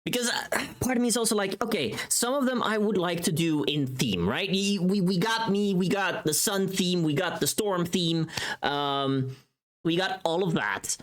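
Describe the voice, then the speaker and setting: A livestreamer with a mid-pitched, very animated voice chats casually to viewers. The delivery is playful and rambling, thinking out loud as ideas come, with loose, sweary language. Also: moderate pace. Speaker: livestreamer and voice pack creator.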